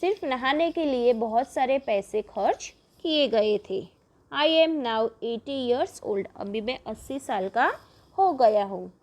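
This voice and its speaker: A fairly high-voiced woman, teaching a primary class. She reads each textbook sentence at a very deliberate crawl, then explains it again in her own words. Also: lively intonation, a little mellow. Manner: reads English lines slowly, then explains rapidly in Hindi-English mix. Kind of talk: bilingual textbook lesson, reading English then explaining.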